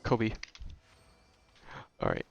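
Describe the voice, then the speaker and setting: A man's voice: very slow, muffled recording. A young man streaming a game and chatting casually, slangy and muttering as he thinks aloud. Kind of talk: casual live gameplay chat.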